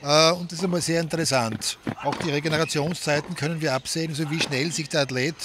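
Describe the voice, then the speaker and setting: A man's voice: on the high side, fairly even intonation, fast talker, noisy background. A man working as a fitness coach, methodically explaining training measurements.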